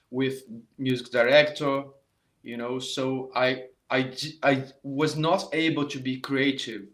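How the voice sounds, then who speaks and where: A man's voice: medium pitch, fairly bright tone. A middle-aged man from Brazil with a Brazilian Portuguese accent, a saxophone teacher speaking to viewers in a live online masterclass, often repeating words as he searches for them.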